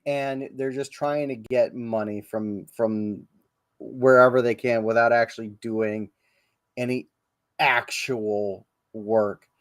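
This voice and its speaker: A man's voice: mid-range voice, steady tone, takes it slowly, dry recording, studio quality. A man hosting a casual livestream podcast, rambling and profane, with many ums and restarts. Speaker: podcast host. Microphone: livestream podcast mic.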